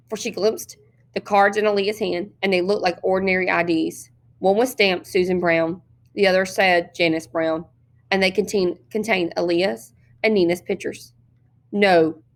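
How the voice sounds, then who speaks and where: A woman reading a novel aloud as an expressive storyteller, narrating the scenes. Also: lowish voice. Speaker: story reader.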